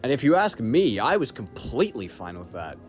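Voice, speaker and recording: A man's voice: mid-range voice, big pitch swings, fairly quick, soft background music, close to an everyday voice. A man playing a guarded, battle-hardened syndicate operative in an audio roleplay, speaking in a dry, clipped, matter-of-fact way. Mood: grave, resolute. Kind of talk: dramatic audio roleplay acting.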